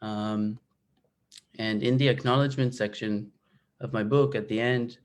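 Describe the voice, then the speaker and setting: A man in his twenties from Israel, a native Hebrew speaker, talking about his book on a video call with many pauses and fillers. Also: lowish voice, steady tone. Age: 20s. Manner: hesitant, many fillers and restarts. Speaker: guest author.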